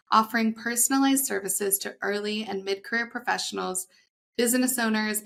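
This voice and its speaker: A woman's voice: mid-range voice, creaky, a bit bright. A woman hosting a podcast, reading an upbeat scripted intro and then asking her guest questions in an eager, conversational way. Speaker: podcast host.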